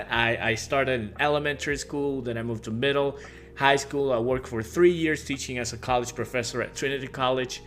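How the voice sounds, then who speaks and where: A man's voice: mid-range voice, a bit bright, a little hiss or hum. A man in his thirties from Puerto Rico, a native Spanish speaker with a Puerto Rican accent, giving a friendly, rambling welcome talk to parents as a teacher, full of fillers and restarts. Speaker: high school teacher and advisor. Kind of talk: casual welcome video for parents.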